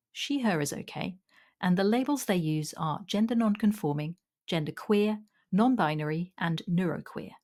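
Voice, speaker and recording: A woman's voice: on the low side, darkish tone. A woman hosting a podcast, reading a scripted introduction and then interviewing her guest. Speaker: podcast host.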